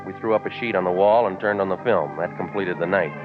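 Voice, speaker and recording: An actor playing a hard-boiled, sardonic private eye in 1940s radio noir, terse and deadpan. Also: male voice, deep voice, quick pace, music in the background, natural voice. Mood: deadpan narrating. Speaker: narrating detective lead. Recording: muffled vintage radio recording.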